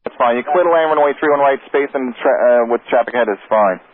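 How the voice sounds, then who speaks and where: A man working as an air traffic controller, giving clipped, brisk, procedural instructions over radio. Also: medium pitch, phone-quality sound. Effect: radio transmission.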